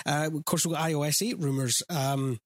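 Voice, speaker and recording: A man's voice: fairly high pitch, steady tone, brisk, no echo, clean studio sound. Chatty man with a mild Scottish accent. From Scotland. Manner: chatty, steers the talk, many ums. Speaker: podcast host. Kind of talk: casual tech podcast chat.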